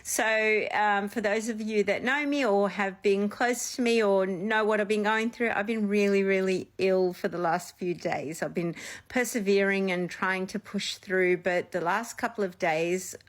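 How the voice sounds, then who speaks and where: Slow-talking woman with a slight sniffle. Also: mid-range voice, steady tone. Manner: chatty, rambling, personal. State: slight sniffle after illness. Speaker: psychic medium and channel host. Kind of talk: casual vlog storytelling.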